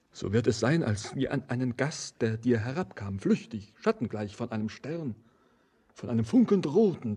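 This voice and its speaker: An actor playing a haunted young stranger in a poetic radio play, declaiming in a lyrical, solemn and impassioned way with a husky voice. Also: male voice, medium pitch, warm tone. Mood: solemn, wistful. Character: haunted young wandering stranger, fervent and doom-laden.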